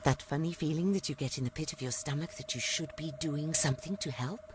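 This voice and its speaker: A woman narrating a video game story in a wry, deliberate way, over noisy game ambience. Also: sits low, natural voice. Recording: clear background noise from game ambience.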